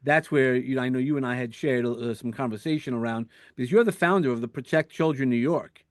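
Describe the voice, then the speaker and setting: A man's voice: mid-range voice, fast, no room sound. Male podcast host, rambling, with dry close sound. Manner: rambling, with many restarts and fillers. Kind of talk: conversational interview.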